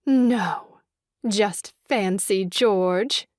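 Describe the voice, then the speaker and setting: An actress playing a kind-hearted, girlish young woman in a stage farce, speaking in a gentle, coaxing way. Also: mid-range voice, extremely slow, no room sound, studio quality. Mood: mild reproach. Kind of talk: stage farce audio drama acting.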